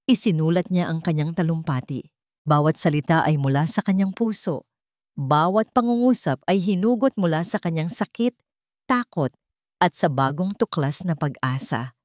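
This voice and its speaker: A woman's voice: low voice, animated, normal speed, a little mellow, no room sound, studio quality. A woman reading a scripted, dramatic story as its narrator.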